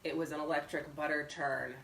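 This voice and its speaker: A woman voicing an aloof male stranger in a storybook, speaking evenly and crisply with a dry deadpan. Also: sits low, brisk, slight background noise. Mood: solemn. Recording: roomy echo, distant microphone.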